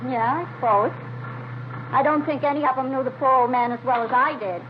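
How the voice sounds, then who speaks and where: A woman's voice: high-pitched, brisk, natural voice. An actress playing a plain-spoken, chatty older woman neighbor, wistful and grumbling, in a vintage radio crime drama. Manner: chatty, matter-of-fact, complaining. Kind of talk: 1940s-50s radio crime drama acting. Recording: noisy, dull vintage radio recording.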